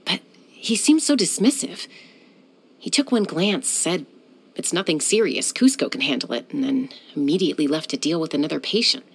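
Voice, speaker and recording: An actress voicing a cool, confident young woman who is a sky-hunter and peacemaker in a video game, speaking in a calm, composed, measured way. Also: low voice, vocal fry, breathy edge, exaggerated voice. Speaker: game character.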